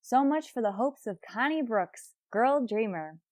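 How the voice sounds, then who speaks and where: An actress playing a wry, lovelorn schoolteacher with deadpan, sarcastic wit in an old-time radio comedy. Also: female voice, a little high, a little slow, dry recording, studio quality. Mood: wry, resigned.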